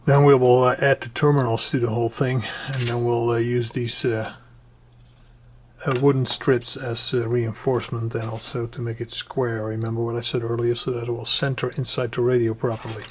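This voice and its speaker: A man presenting an informal hands-on do-it-yourself tutorial, explaining step by step in a halting way and repeating his instructions. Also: mid-range voice, flat delivery. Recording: clearly noisy home recording with clicks.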